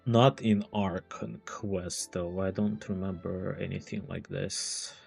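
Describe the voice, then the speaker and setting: A man giving casual, halting commentary as a game streamer, musing aloud in a gravelly, husky voice. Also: low voice, steady tone, a little mellow, soft background music. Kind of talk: casual live gameplay commentary.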